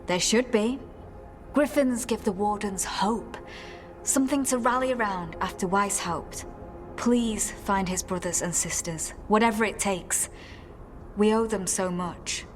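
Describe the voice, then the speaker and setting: An actress playing an earnest, hesitant young former recruit in a fantasy video game. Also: female voice, mid-range voice, measured pace, close to an everyday voice. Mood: pleading. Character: earnest, guilt-laden young female former recruit of an order. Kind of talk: video game dialogue acting. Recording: long reverb, music behind. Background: music.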